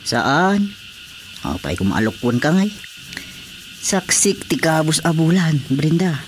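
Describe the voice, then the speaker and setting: Slow, swooping, lovestruck village suitor. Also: female voice, low-pitched, sing-song, slight background noise, heavily acted. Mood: earnest, romantic. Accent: Ilocano. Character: Amboy, earnest, romantic village suitor, a man. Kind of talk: Filipino radio drama comedy acting.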